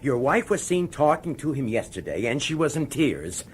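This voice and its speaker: Defensive, authoritative police boss for radio drama, male actor, wildly varied intonation, gruff orders, faintly noisy recording. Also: mid-range voice, big pitch swings, moderate pace, natural voice. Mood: stern, guarded. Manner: indignant, gruffly commanding.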